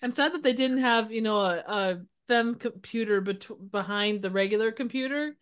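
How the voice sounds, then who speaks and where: A podcast guest chatting casually, playful and quick to laugh. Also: mid-range voice, fairly bright tone, smooth.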